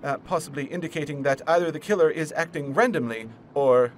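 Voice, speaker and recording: Man playing nervy puzzle villain, smug, explanatory, highish pitch, faintly noisy recording. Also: brisk, lightly acted. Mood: explaining, nervy. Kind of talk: comic fan dub acting.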